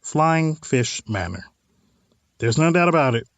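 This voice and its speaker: A man's voice: fairly high pitch, expressive, measured pace, no room sound. A man hosting a let's play of a visual novel, reading text aloud and adding informal, wry asides. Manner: informal, wry side comments on what is read.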